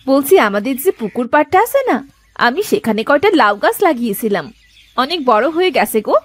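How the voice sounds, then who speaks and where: A woman voicing a sharp-tongued but caring village wife in a cartoon, with expressive domestic-drama delivery. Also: high-pitched, a little fast. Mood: caring, friendly. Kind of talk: Bengali moral-story cartoon dubbing.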